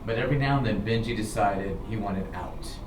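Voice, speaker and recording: A man's voice: lowish voice, brisk, recorded from a distance. American man telling stories, roomy noisy recording. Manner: animated, dramatic, repeats "okay" to check in. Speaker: storyteller, show host. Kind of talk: informal personal storytelling to listeners.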